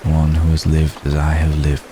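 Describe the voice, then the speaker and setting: Man acting as ancient gentleman vampire, extremely low voice, subdued even intonation, formal, halting, tender, intimate ASMR roleplay, rain noise behind. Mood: tender, confessional. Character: centuries-old gentleman vampire, tender, protective, world-weary. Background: rain falling.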